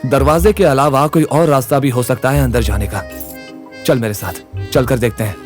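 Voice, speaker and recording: A man's voice: mid-range voice, normal speed, soft background music, lightly acted. A man playing a cocky, sarcastic young college amateur sleuth in a comic audio drama.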